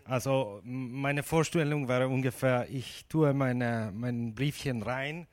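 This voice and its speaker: A man who is not a native German speaker, giving a humorous talk at an event, halting with many fillers. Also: mid-range voice.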